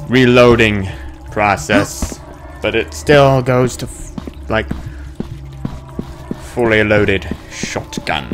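Low-voiced man, swooping gaming commentary. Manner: muttering asides with sudden exclamations. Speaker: gaming video host. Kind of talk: casual let's-play commentary. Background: game music and sound effects.

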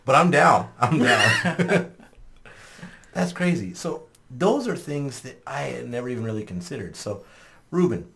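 A man hosting a podcast in a small studio, talking casually, swearing often and laughing loudly. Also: mid-range voice, gravelly. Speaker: podcast host. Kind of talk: casual chat. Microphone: studio mic on boom arm.

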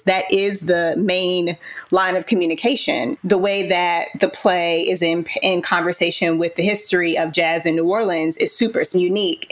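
A woman speaking conversationally as a theater director guest in a panel discussion. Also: fairly low pitch.

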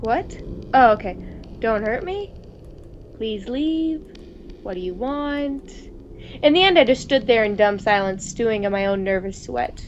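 Woman, playful gaming host reading game text and chatting, high voice, slightly noisy dull recording. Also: expressive, unhurried. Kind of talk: reading visual novel narration aloud with casual chat. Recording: muffled, noisy home microphone.

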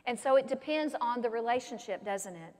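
An older woman pastor preaching an animated sermon from a pulpit in a large church sanctuary. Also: a little high, a little fast. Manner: animated, expressive preaching with gestures. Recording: long church reverb.

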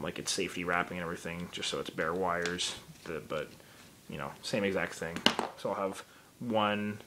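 A hoarse young man with a slightly low voice talks through his project at home. He rambles with plenty of ums while filming on a phone in his hand. A faint hiss sits under the recording. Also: a bit bright. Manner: rambling, many ums, explaining while handling gear. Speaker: hobbyist showing a Halloween haunt build. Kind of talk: casual filmed walkthrough. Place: room in a home, indoors. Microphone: handheld phone camera.

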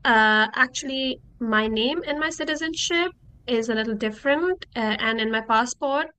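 A woman's voice: on the high side, normal speed, sharp tone. A young woman from Nepal, a native Nepali speaker and master's student, giving tips in a friendly, hesitant way with many fillers over a video call. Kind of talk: casual testimonial.

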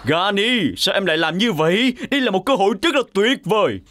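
A woman's voice: mid-range voice, a little fast, lightly acted. A woman playing a showy race-car star. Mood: animated. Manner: animated and expressive. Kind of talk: Vietnamese cartoon dub.

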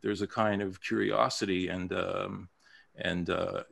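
Gravelly-voiced man in his fifties. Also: sits low, little pitch movement, measured pace, fairly bright tone. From Japan. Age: 50s. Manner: thoughtful, hesitant with many fillers. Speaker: playwright guest. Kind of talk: casual interview. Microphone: video call.

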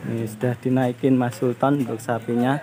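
A man's voice: medium pitch, level tone, brisk, heavy background noise. A man chatting and coaxing others at an outdoor cattle farm. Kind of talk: casual chat.

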